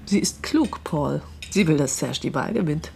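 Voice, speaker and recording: A woman's voice: low voice, animated, normal speed, gravelly, darkish tone, slight background noise. An actress playing a controlled, insistent middle-aged wife and mother in an intimate radio drama. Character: Claire, coolly determined, manipulative wife and mother.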